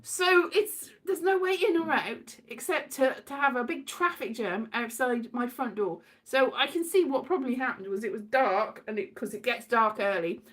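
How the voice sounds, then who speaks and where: A British woman telling casual, rambling comic anecdotes in an animated, theatrical way, acting out exchanges as she goes. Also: on the high side, moderate pace, hardly any pauses. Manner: animated, theatrical, acts out exchanges, breaks into sing-song. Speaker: solo host of an audio show.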